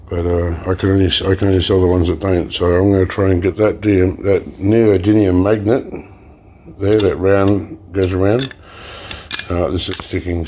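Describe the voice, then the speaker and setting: Male hands-on demo host, deep and dark-toned, relaxed and a bit hoarse, thinks aloud while he works. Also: a little hiss or hum. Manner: relaxed, explains while working, frequent fillers and restarts. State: slightly hoarse, clearing throat. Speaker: e-waste recycling channel host. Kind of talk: casual hands-on demonstration.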